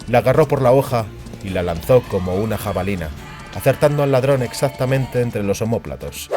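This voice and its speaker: A man's voice: fairly low pitch, a little mellow. A man narrating fantasy fiction as a dramatic, scripted audiobook. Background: background music.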